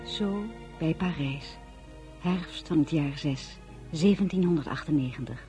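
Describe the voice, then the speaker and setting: A woman's voice: sits low, a little slow, warm tone, faint music behind. An actress playing a candid young French bride who narrates her memoirs in a radio drama. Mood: wry, reflective.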